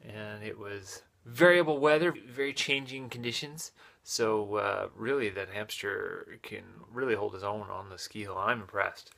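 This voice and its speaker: A man in his thirties talking casually to a phone camera in a hotel room, relaxed, with many filler sounds. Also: fairly low pitch, a little slow, creaky. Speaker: vlogger and father. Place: small hotel room, indoors. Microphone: phone camera at arm's length.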